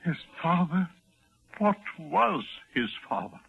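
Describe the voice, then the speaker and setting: An actor playing an anxious, stammering doctor with a strained husky voice in a 1940s radio horror play. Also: male voice, fairly high pitch, big pitch swings, slow pace, heavily acted. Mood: horrified. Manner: agitated, stammering. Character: horrified, anxious doctor, the hero's companion. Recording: dull old radio recording.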